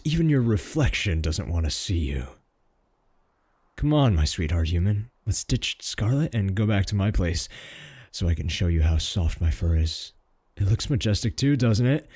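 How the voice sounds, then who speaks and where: Cocky, husky-voiced male werewolf, acted by a man. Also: deep voice, a little mellow, no room sound, full character voice. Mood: mocking, then flirty, boastful. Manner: drawling, mocking, boastful. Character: cocky, doting male werewolf, taunting romantic rival. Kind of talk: comedic audio roleplay acting.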